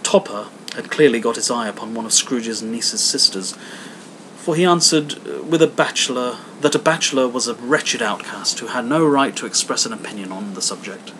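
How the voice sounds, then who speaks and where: Male audiobook narrator, bright forceful voice, expressive literary reading, slight background noise. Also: medium pitch, moderate pace, rough recording. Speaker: audiobook reader.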